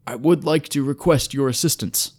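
Man playing a hoarse, weary wounded elf. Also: medium pitch, darkish tone, pitched above the performer's own voice, lightly acted. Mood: weak, solemn. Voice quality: hoarse, weak. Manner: formal, halting. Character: weary, wounded male elf, formal and courteous.

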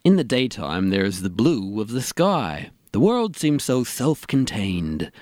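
A man's voice: on the low side, sing-song, measured pace, a little mellow, no echo, studio quality. A man playing an eager, casual sidekick in a comedy radio play, with a chatty manner. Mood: reflective.

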